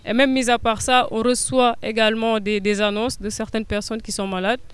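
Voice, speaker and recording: Young Senegalese woman, flat, smooth. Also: a little high, moderate pace. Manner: hesitant, many filler sounds, earnest. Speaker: guest. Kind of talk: interview answers.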